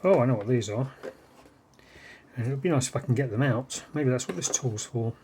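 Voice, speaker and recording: A man's voice: mid-range voice, animated, brisk, dark tone, a little hiss or hum. A British man chatting casually and unscripted as he shows items on his vlog, rambling and musing aloud. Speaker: vlogger showing vintage cassette gear. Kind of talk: casual unscripted unboxing chat.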